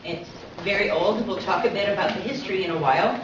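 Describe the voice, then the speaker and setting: A woman's voice: medium pitch, fast. A woman giving an explanatory public lecture as co-presenter, checking in with listeners as she goes. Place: echoey indoor lecture room. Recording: very echoey room, distant mic, muffled, noisy.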